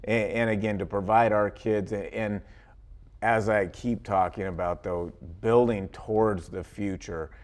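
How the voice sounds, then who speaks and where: Man, American accent, very low voice, football coach, conversational interview, folksy repetitive phrasing. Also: fairly even intonation, unhurried.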